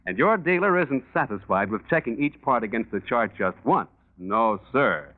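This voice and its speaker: Animated 1940s American radio announcer, tinny sound. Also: male voice, mid-range voice, moderate pace. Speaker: radio announcer and sponsor pitchman. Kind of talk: 1940s radio advertising, scripted.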